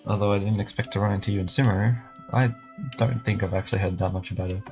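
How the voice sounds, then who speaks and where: A man's voice: fairly low pitch, steady tone, quick pace. A man casually livestreaming a game, reacting offhand and reading lines aloud. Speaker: game streamer. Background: game music.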